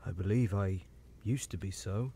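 A grave, uneasy railway signalman from a storybook, put on by a male reader. He talks low and hushed, almost at a whisper, in a deep, dark, mellow voice. He keeps his words measured and careful. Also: creaky, a little hiss or hum. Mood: troubled. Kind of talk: audiobook character reading.

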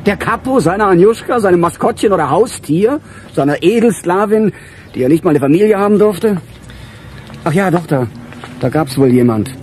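An actor playing a weary middle-aged police chief in a radio crime drama, with a dry, musing, sardonic manner. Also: male voice, high voice, lively intonation, moderate pace, darkish tone, a little hiss or hum.

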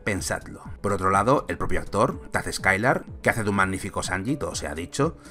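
Sarcastic low-voiced Spanish man. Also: lively intonation, a little fast, pushed and bright, faint music behind. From Spain. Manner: sarcastic, argumentative. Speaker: YouTube film commentator. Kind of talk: opinion vlog.

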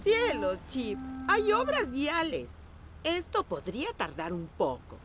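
A woman voicing a playful, affectionate grandmother pug in a children's cartoon, animated and singsong, cheerful and adventurous. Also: high-pitched, slow, exaggerated voice. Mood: playful, reassuring. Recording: music behind the voice.

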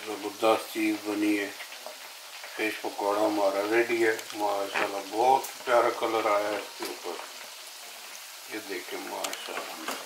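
A man hosting a home cooking video, narrating a recipe step by step in a plain way. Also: lowish voice. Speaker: home cooking channel host. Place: home kitchen, indoors. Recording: roomy kitchen echo, faint noise, sizzling.

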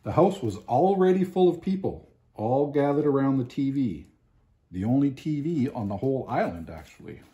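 Man reading a storybook, mellow voice. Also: mid-range voice. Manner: expressive, deliberate with emphatic pauses. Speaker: storybook reader. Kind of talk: children's picture book read-aloud. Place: home living room, indoors.

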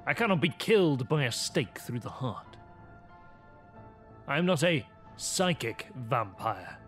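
A man playing a cold, arrogant vampire serial killer, delivering a gothic audio drama monologue with deliberate menace. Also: mid-range voice, sing-song, a bit bright. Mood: cold, predatory. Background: music.

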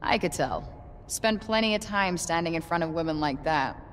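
Actress as low-voiced, sarcastic young street-tough heroine. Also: female voice, expressive, moderate pace, a little hiss or hum, close to an everyday voice. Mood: casual, wry. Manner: sarcastic, casual slangy talk. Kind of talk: video game cutscene acting. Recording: long hall reverb.

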